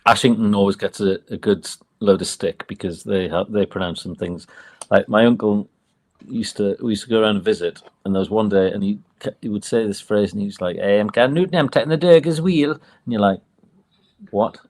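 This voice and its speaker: A man's voice: on the low side, steady tone, fairly quick, darkish tone. A man with a mild Northumbrian accent, a chatty, self-deprecating guest who laughs often as he tells stories. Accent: Northumbrian, mild Geordie. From Northumberland, England. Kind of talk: casual interview chat.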